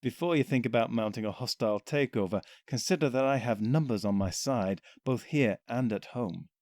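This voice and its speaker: A man voicing a smug scheming human official who murmurs quiet, amused threats. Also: mid-range voice, normal speed, no echo, studio quality, lightly acted. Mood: sly murmur.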